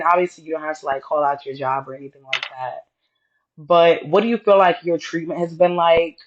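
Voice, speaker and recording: A woman co-hosting a podcast in casual conversation, with a deeper voice and a reserved, straightforward way of talking. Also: highs cut off. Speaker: podcast co-host.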